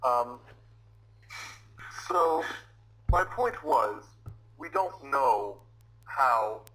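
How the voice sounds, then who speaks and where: Man, bright and forceful, who rambles and corrects himself mid-thought while answering questions. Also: medium pitch, lively intonation, drawn-out words, rough recording. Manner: rambling, self-correcting, apologetic. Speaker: debate participant answering questions. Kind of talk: casual online discussion.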